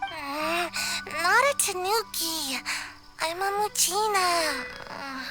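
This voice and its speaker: A woman's voice: very high voice, expressive, takes it slowly, pushed and bright, faint music behind, exaggerated voice. A woman voicing a sleepy, lazy little ninja girl in an English video game dub, drawling drowsily. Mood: sleepy, grumbling.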